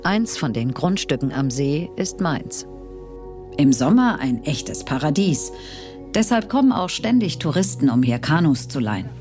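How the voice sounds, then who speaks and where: An actress playing a murdered woman in her sixties who tells her own life story in a measured, wistful way. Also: sits low, very animated, a little mellow. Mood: reflective. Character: 61-year-old murdered woman narrating her life from beyond death. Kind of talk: scripted dramatized first-person narration. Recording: clear music bed behind the voice.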